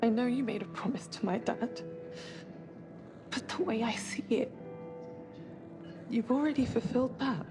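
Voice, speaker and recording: An actress playing a reluctant young crew member in a sci-fi game, speaking in an earnest, hesitant, subdued way. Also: female voice, fairly high pitch, measured pace, long pauses. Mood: reluctant, pleading. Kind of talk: cinematic game drama acting. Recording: music behind the voice.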